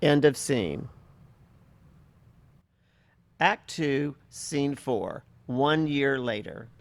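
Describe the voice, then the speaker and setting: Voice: medium pitch, slow pace, dry recording. A person reading stage directions as plain, factual scripted narration for a play.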